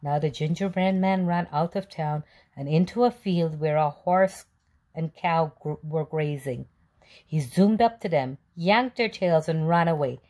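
A woman narrating a children's picture book as a read-aloud storyteller, in an expressive, deliberate manner. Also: sits low.

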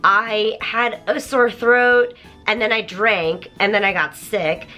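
A woman in her thirties talks to her viewers from a home studio, her voice croaky from a recent sore throat. Her tone is bright and forceful, and she chats in a lively, apologetic way. Soft music plays faintly underneath. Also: mid-range voice, slow pace, muffled recording. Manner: chatty, animated, apologetic. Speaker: YouTuber. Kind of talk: casual vlog to camera. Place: bedroom studio with toy-filled shelves.

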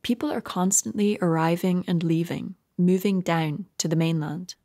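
A woman hosting a podcast, asking interview questions and narrating in a measured, clear way. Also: lowish voice, mellow.

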